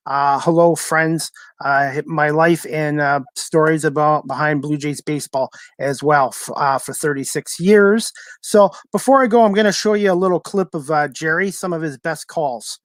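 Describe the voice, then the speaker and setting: A man's voice: on the high side, moderate pace, no echo. A man casually hosting a live podcast, with hesitant, repetitive phrasing. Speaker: podcast host.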